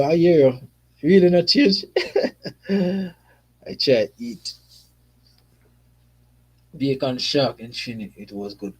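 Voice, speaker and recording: A man's voice: medium pitch, darkish tone, slightly rough. A young Jamaican man with a Jamaican accent, casually chatting about football in patois-flavored talk. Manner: patois-flavored, chatty, frequent "you see me". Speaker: football channel host.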